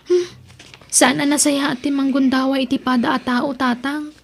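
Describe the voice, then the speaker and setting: A woman's voice: sits high, little pitch movement, normal speed, gravelly. A woman acting as an honest, principled young daughter in an Ilocano radio drama, earnest and pleading, with an Ilocano accent. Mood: reproachful. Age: young adult.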